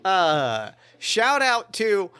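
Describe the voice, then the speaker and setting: Clear-voiced man running a tabletop game. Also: sits high, huge pitch swings, drawn-out words, pushed and bright, dry recording. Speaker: podcast host and game master. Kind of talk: improvised tabletop narration and casual chat.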